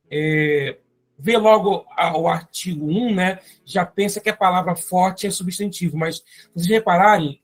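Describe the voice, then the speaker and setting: Husky brazilian teacher going over grammar exercises, faint music behind. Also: mid-range voice. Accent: Brazilian Portuguese. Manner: explains step by step, often adding tag questions. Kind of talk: exam-prep grammar lesson.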